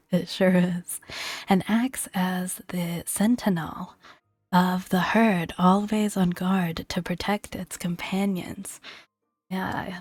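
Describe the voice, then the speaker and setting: Soft breathy woman, near-whispered ASMR. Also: on the low side, no echo, clean studio sound. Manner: gentle, unhurried, murmuring. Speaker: video game content creator. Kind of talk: soft-spoken ASMR game commentary.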